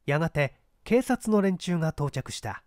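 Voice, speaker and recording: A man reading literary mystery fiction aloud in a measured, theatrical storytelling manner. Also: a little high, lively intonation, a little mellow, no room sound, studio quality. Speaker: audiobook reader and small-theatre actor. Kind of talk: dramatized literary mystery narration.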